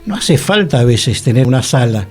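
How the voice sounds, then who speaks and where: Man, medium pitch, Rioplatense accent, casual co-host, agreeable, repeats words, background music. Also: big pitch swings, moderate pace. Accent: Rioplatense Argentine. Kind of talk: casual chat.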